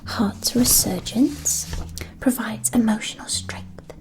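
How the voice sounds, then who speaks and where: Slow, whispering woman in ASMR roleplay. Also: on the high side, fairly even intonation, poor audio. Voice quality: soft breathy whisper. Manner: slow, deliberate, carefully enunciated. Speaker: ASMR roleplayer as a witch school supply shopkeeper.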